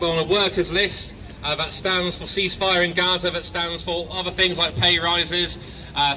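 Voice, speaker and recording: A man with a fairly high voice speaks at a street rally, impassioned but halting, with plenty of ums and uhs. He is caught from some distance away, and loud traffic and street noise fill the background. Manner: impassioned, hesitant with many fillers. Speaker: protest speaker. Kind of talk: outdoor rally speech.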